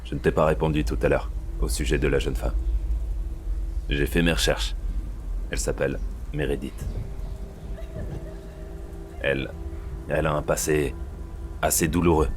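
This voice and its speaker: Man acting very deep-voiced, worried police boss. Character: grave, worried male police superior, secretive and guilty. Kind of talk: French audio thriller drama acting. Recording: clear background noise, vehicle-like rumble.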